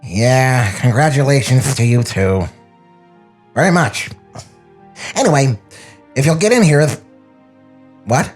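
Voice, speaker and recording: A man acting a put-upon, halting cartoon director with a husky voice and a grudging, exasperated manner at a table read. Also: mid-range voice, lively intonation, faint music behind.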